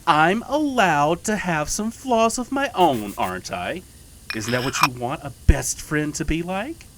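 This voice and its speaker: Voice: mid-range voice, very animated, a little slow, background noise. An actor in a fiction podcast playing a taunting, playfully sinister best friend, teasing and mock-wounded in a theatrical way.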